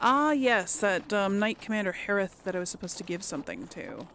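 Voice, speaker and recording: A woman's voice: mid-range voice, very animated, brisk, a bit bright, slight background noise. A woman giving casual, rambling game commentary to viewers, thinking aloud as she plays. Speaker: gaming host.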